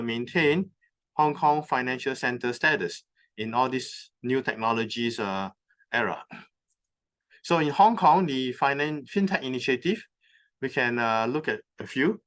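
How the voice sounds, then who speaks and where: Man, conference speaker, halting delivery, frequent fillers, mid-pitched voice, lecture presentation. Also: a bit bright. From recently moved from North America. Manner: halting, many "uh" fillers, explains terms step by step. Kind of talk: presentation talk. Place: conference venue.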